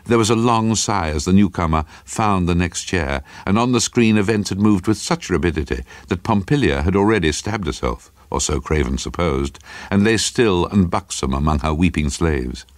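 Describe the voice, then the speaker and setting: A man narrating a dark literary story in a dramatic, scripted storytelling style. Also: deep voice, moderate pace, dry recording.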